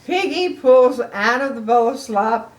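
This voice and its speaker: An older woman reading a picture book aloud to children, expressive, with chatty asides to the listeners. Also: fairly high pitch, unhurried. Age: older, a grandmother.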